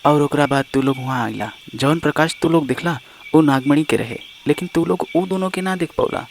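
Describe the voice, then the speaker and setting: An earnest serpent man, voiced by a male actor in an animated folk tale. The voice is a little high for a man, serious and measured, with a protective temper. Faint noise is behind it. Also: lightly acted. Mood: serious recounting.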